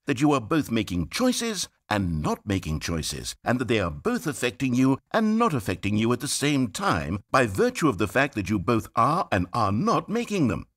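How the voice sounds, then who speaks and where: An actor playing a pompous, self-absorbed game narrator who rambles in a grand, wordy way. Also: male voice, medium pitch, huge pitch swings, normal speed, hardly any pauses, fairly bright tone. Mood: musing. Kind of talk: scripted video game narration. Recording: dry studio voice-over.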